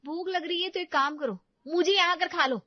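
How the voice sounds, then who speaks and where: A woman's voice: extremely high pitch, fairly quick, dry recording, lo-fi sound, pitched above the performer's own voice. A woman voicing a harried young working daughter-in-law, exasperated and defensive, in a comic story drama. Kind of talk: Hindi comedy story dramatization.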